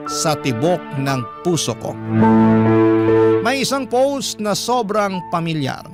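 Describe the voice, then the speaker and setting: Male radio storyteller: slightly high voice, measured, earnest dramatic narration over prominent music. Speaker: radio storyteller reading a listener's letter. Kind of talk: dramatic first-person scripted narration. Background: loud background music.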